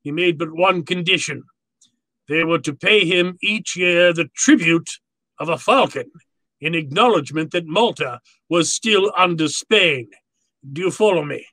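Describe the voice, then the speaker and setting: An actor playing a courtly, gloating villain in a 1940s-style radio drama, with a florid, pompous, theatrical delivery. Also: male voice, fairly high pitch, measured pace, sharp tone, no room sound, rough recording. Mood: relishing. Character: courtly, gloating, verbose male villain, obsessive collector.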